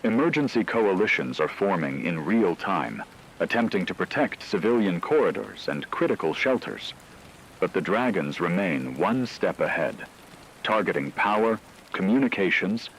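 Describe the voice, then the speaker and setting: A man acting as a radio news anchor, delivering scripted breaking news in a grave, formal, measured manner. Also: deep voice, a bit bright, slight background noise, heavily acted, low-quality recording. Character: grave male radio newsman reporting an apocalypse.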